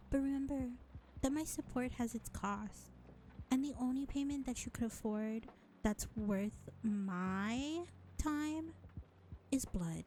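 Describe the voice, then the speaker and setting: Slow, sarcastic vampire woman, acted out by a woman. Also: a little high, mellow, a little hiss or hum, low-quality recording. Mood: grudging, sly. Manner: drawling, mocking, scornful. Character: sarcastic, exasperated, foul-mouthed female vampire. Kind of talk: audio roleplay acting.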